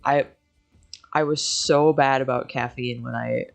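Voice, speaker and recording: A woman's voice: a bass voice, fairly even intonation, unhurried, vocal fry, soft background music. A woman co-hosting a game livestream, talking casually while she plays. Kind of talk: casual chat while gaming.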